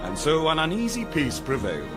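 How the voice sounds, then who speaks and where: Casting: dramatic documentary narrator, British, high and wildly varied pitch, solemn mock-epic radio comedy delivery. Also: male voice, huge pitch swings, fairly quick, music in the background. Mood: dramatic, grave. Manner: portentous, emphatic, storytelling.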